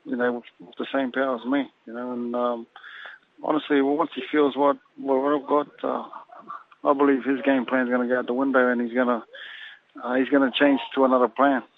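A Samoan-born boxer being interviewed, talking haltingly and filling pauses with "you know". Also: male voice, medium pitch, flat delivery. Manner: halting, leans heavily on "you know" fillers. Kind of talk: phone interview. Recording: tinny phone line.

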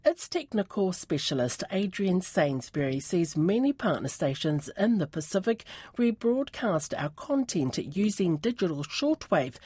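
Woman reading a news report with lively pitch. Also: no echo. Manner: clear, measured news-reading delivery. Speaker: radio reporter. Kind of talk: scripted news report narration.

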